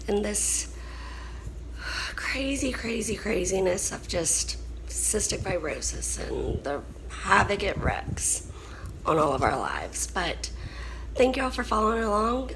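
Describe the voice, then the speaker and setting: A young woman vlogging casually, hoarse and coughing, weary and frustrated. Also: mid-range voice, a bit bright, a little hiss or hum, rough recording. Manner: tired, frustrated, drawn-out speech. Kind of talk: casual vlog chat.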